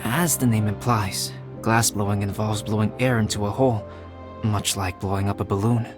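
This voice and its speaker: An actor playing a calm, scholarly young male alchemist in a game, speaking in measured, formal, explanatory phrases. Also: fairly low pitch, natural voice. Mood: calm, instructive. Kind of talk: scripted game dialogue, explanatory. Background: music.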